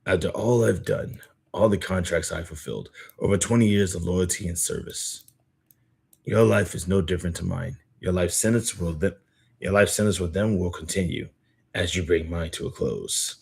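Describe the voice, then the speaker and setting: A man's voice: deep voice, normal speed, creaky, a little mellow, breathy edge, exaggerated voice. A man voicing a grave, fatalistic veteran assassin in a solemn, formal reading of story dialogue. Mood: resigned, bitter.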